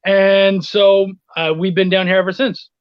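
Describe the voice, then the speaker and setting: High-voiced man, dull dry lo-fi sound. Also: little pitch movement, unhurried, clear, resonant tone, dry recording. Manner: blunt, frequent swearing. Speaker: interview guest, freelance writer. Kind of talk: casual anecdotal chat.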